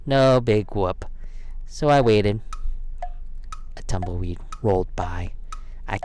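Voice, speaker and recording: Low-voiced man reading a picture book. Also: slight background noise. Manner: expressive, dramatic pauses. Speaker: storyteller. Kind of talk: children's picture book read aloud.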